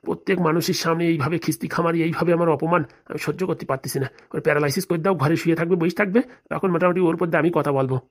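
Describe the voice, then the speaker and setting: A woman's voice: low voice, fairly even intonation, very fast, few pauses. A woman voicing a resentful, henpecked husband in a comedy cartoon, confiding and insistent. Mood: urgent. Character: resentful henpecked husband, furtive, plotting against his wife.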